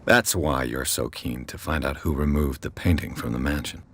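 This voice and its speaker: An actor playing a brooding, blunt private detective in a video game, with a dry, pointed, questioning delivery. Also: male voice, very deep voice, normal speed, fairly bright tone, heavily acted. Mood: skeptical, probing. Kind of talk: scripted game dialogue. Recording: clear background noise under the voice.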